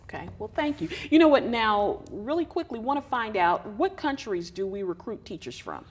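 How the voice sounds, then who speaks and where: Lively woman TV host. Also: fairly high pitch, moderate pace, husky. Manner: lively, chatty questioning. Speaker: show host. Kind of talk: TV interview.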